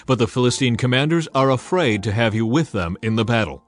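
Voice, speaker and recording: A man's voice: mid-range voice, animated, fairly quick, soft background music, natural voice. A man voicing a foreign king who speaks earnestly and apologetically. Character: anxious male foreign king, apologetic and fond.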